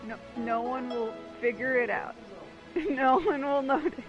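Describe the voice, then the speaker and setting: Woman streamer, high pitch, slow-paced, casual joking gameplay commentary, soft game music behind. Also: steady tone, muffled recording. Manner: joking, acts out mock dialogue.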